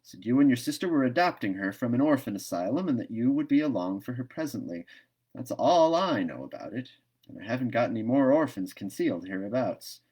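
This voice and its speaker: A man voicing a brisk, talkative small-town station master in a storybook, with a careless, wry manner. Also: medium pitch, expressive, darkish tone, husky, low-quality recording. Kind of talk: storybook read-aloud.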